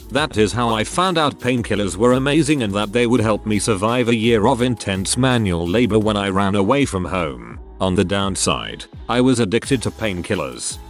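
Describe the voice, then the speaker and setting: A man with a lowish voice reads out internet stories in an even, steady narration. Soft music plays faintly under his words. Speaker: narrator reading Reddit posts. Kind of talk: scripted narration. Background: soft background music.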